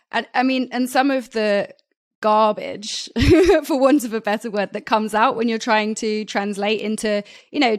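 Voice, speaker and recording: Woman co-host, casual podcast chat, hedgy, lots of fillers. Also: mid-range voice. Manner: hedging, conversational, many fillers.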